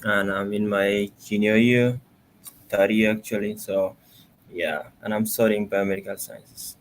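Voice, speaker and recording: A young man from the Gambia introduces himself informally and corrects himself partway through. His voice is on the low side, with faint hum behind it. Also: fairly even intonation, a little slow. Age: young adult. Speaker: student.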